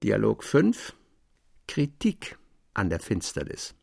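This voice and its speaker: A man giving a measured, solemn literary narration, as for an audiobook. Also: on the low side, huge pitch swings, gravelly, darkish tone, breathy edge, no echo, clean studio sound, faint music behind.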